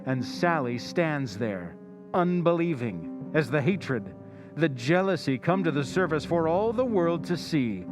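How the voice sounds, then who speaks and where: A man's voice: on the high side, very animated. An actor playing the Whistler, an ominous male narrator, in a 1940s radio drama recreation. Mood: taunting. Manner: brooding second-person narration, drawn-out taunting phrasing. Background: guitar music.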